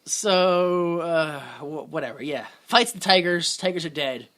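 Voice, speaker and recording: Bright, high-voiced man recapping sarcastically. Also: measured pace. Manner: sarcastic, laughs often, acts out quotes. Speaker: podcast co-host. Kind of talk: casual movie recap chat.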